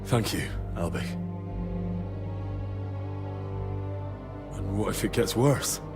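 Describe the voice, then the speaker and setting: An actor playing a plain-spoken, persistent young adventurer in a video game, with a frank, wry and informal manner. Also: male voice, deep voice, animated, normal speed. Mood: worried. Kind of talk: video game cutscene acting. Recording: reverberant, clear music behind.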